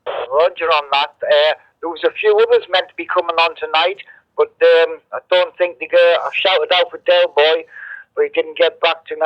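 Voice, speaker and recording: A man's voice: on the high side, no room sound. A man chatting informally in radio slang as a hobbyist, heard through a CB radio. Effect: CB radio transmission. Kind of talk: casual radio chat. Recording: muffled CB radio link.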